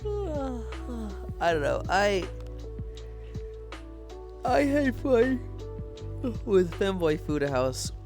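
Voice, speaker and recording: A woman's voice: medium pitch, extremely slow, pauses often. Woman in her thirties, livestream chat, swears a lot, laughs mid-rant, working out, music playing. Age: 30s. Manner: animated, swears freely, laughs through rants. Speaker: streamer.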